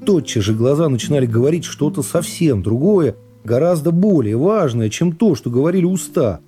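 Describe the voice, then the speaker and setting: Mellow male radio narrator over guitar music. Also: fairly high pitch, expressive, normal speed. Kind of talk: literary narration in a radio play. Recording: music behind the voice. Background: plucked guitar music.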